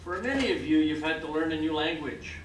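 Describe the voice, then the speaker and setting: A man's voice: on the high side, expressive, brisk. Older man giving an echoey ceremony speech. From Canada. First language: French. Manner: encouraging, repeatedly checks in with "okay?". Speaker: citizenship judge addressing new citizens. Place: large hall with an audience. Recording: echoey hall, mic far off, noisy.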